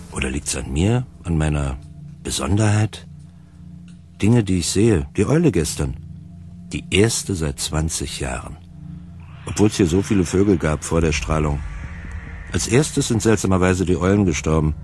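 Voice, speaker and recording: A man playing a lonely, odd outcast in a radio drama monologue, musing haltingly to himself. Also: low voice, lively intonation, a little mellow, slight background noise. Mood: brooding, wondering. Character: lonely, odd, brooding male outcast in an empty building.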